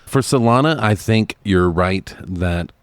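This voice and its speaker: Deep-voiced male co-host for loose, enthusiastic, profane movie chat, recorded dry. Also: measured pace, clean tone, dry recording. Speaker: podcast co-host.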